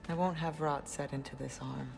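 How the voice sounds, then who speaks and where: A woman's voice: sits low, steady tone, gravelly. Stern, dignified noblewoman over music. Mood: motherly, firm. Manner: formal, firm, measured. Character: proud, stern, dignified middle-aged noblewoman and mother. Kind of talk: fantasy video game drama.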